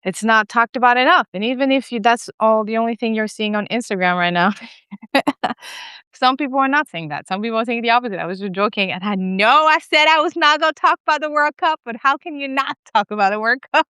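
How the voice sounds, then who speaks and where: A woman from Senegal in her thirties, co-hosting a podcast in casual, animated chat full of fillers and restarts. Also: on the high side, brisk, clear, resonant tone, dry recording, clean studio sound. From West Africa, Senegal. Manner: animated, lots of fillers and restarts, laughs often. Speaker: podcast co-host.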